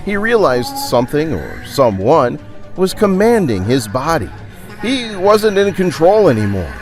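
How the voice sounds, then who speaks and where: A man tells an adventure story in a lively, theatrical voice that sits a little high. His delivery is deliberate and suspenseful, rising and falling widely. Music plays behind him. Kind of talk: dramatic scripted storybook narration.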